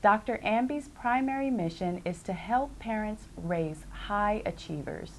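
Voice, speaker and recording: A woman TV host who introduces a guest and interviews her, giving frequent soft affirmations as she listens. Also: mid-range voice, a little slow, slight background noise.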